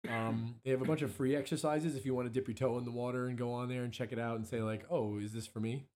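A hoarse man, a musician and songwriter, chatting casually as an interview guest in a rambling, self-interrupting way. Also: medium pitch, fairly even intonation, quick pace, darkish tone. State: hoarse from allergies, clearing throat.

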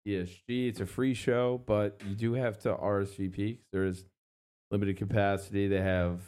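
A man's voice: lowish voice, level tone, moderate pace, a little mellow, clear, resonant tone. A man hosting a local radio show, chatting casually and plugging upcoming events in an easygoing way. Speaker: radio host.

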